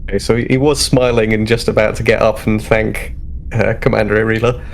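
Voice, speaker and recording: A man's voice: on the low side, flat delivery, brisk, gravelly, a little hiss or hum. A man casually improvising descriptions of his character as a player in a tabletop game.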